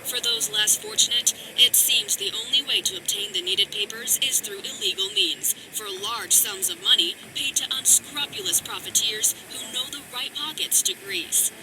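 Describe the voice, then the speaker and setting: A woman acting as a composed game news announcer with a high voice. She reads her bulletin formally and in measured phrases, over clearly audible background noise. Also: lightly acted. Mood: formal, grave. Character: female news announcer, composed and formal, reporting grim politics. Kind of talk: scripted news bulletin inside a video game.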